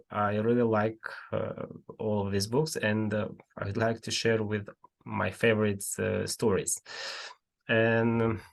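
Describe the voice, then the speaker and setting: Slow, gravelly man on a video call. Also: fairly low pitch. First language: not English. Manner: hesitant, many fillers and restarts. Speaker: club host. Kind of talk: casual online conversation and reading aloud.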